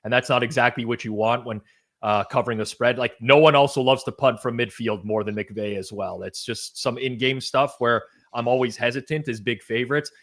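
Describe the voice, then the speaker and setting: A man's voice: mid-range voice, fairly even intonation, fairly quick, fairly bright tone. Casual man hosting a betting show. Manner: conversational, frequent restarts, dry asides. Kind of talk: casual sports betting discussion.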